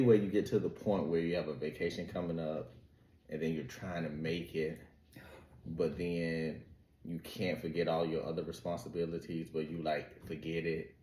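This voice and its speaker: Deep-voiced laid-back man podcaster. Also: steady tone, unhurried, dark tone. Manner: laid-back, dry teasing. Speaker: podcast co-host. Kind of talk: casual chat. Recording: echoey room.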